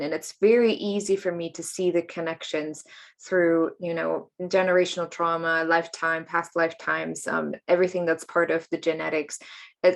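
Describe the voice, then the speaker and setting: A woman from Germany speaks in a fairly low voice. She is in her late thirties and tells her personal story in an interview, open and chatty, often breaking into laughter. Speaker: guest, coach and healer.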